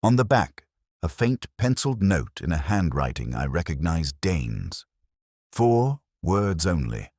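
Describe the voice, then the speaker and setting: A man reading a first-person detective story as scripted narration, in a measured, literary storytelling manner. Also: sits low, big pitch swings, darkish tone, dry recording, studio quality.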